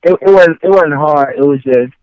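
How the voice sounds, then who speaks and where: A man's voice: a little high, normal speed, highs cut off. A man from Chicago with a slight Southern accent, chatting casually as an interviewed rapper and often checking in with "you know" phrases. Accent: Chicago with slight Southern tinge. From Chicago, later Mississippi. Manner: conversational, frequent "you know what I'm saying".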